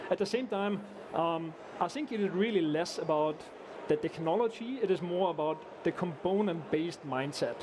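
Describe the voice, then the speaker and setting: Austrian-born engineer, high-pitched, halting casual talk over loud event noise. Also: male voice, animated. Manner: hesitant, many restarts and fillers. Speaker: guest engineer. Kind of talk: casual conversational interview. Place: conference event.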